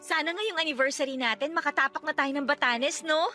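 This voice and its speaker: A woman's voice: high-pitched, brisk, bright, forceful tone, soft background music. A woman with a Filipino accent playing a talkative, stubborn wife in her thirties in a radio drama, chatty and nagging. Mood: excited, chatty.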